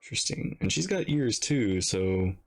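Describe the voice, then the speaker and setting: A man's voice: fairly low pitch, very animated, moderate pace, creaky. A man casually commentating as he reacts to a video game, with game music behind him. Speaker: gaming reaction streamer.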